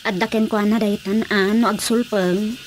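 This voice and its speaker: A woman's voice: mid-range voice, steady tone, normal speed, lightly acted. A woman with an Ilocano accent playing an exasperated, grumbling village wife in a radio drama comedy. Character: exasperated wife of a stubborn, ailing village councilor. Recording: faintly noisy radio drama track.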